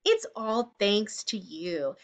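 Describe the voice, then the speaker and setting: Woman reading as a slow-spoken, caring storybook bear. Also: mid-range voice, expressive, voice pushed down. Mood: joyful. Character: big friendly storybook bear, grateful and caring. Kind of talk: children's picture book read-aloud.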